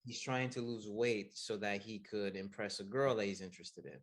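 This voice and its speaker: A soft-spoken man in his thirties, speaking in a fairly low voice. He answers at an easy pace with many ums, and he laughs easily between his thoughts. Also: normal speed. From United States. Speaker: acupuncturist guest. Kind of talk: casual interview answers.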